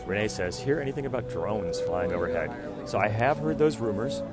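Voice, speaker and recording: A man's voice: lowish voice, lively intonation, a little fast, over loud music. A man hosting a live stream outdoors at a theme park event at night, reading and answering viewer comments in a scratchy, stuffy voice. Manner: friendly, answers questions with fillers.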